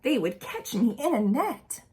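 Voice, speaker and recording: A woman reading a picture book aloud expressively to young children, pausing to ask them simple questions. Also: fairly high pitch, big pitch swings, mellow, slightly rough.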